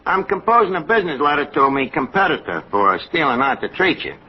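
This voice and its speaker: Brash New York barkeep, animated pitch. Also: male voice, brisk, husky. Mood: mock-formal. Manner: mock-genteel, sarcastic, mangles grammar. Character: brash, wisecracking, uneducated male tavern manager with pretensions. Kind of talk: 1940s radio comedy acting. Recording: muffled, hissy old-time radio recording.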